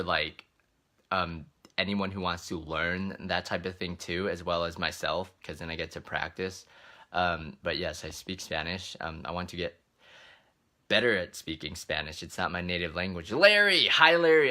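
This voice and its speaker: A man's voice: fairly low pitch, sharp tone. A man who hosts travel videos, chatting casually in a live question-and-answer session, informal and rambling as he thinks aloud. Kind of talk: casual livestream Q&A, answering read-out comments.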